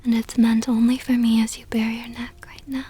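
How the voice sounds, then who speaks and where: A woman voicing a seductive, possessive vampire countess in an intimate ASMR roleplay, speaking softly and close to the microphone in a teasing, condescendingly tender way. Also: a little high, little pitch movement, moderate pace, faint music behind. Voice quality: breathy, whispery, soft.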